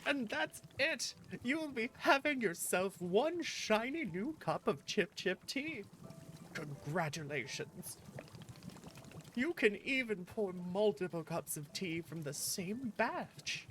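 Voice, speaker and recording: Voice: medium pitch, big pitch swings, takes it slowly, fairly bright tone, a little hiss or hum. A streamer voicing a cheerful, theatrical game character who patiently gives tea-making instructions. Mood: cheerful, instructive. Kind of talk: reading game dialogue aloud. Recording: music behind the voice.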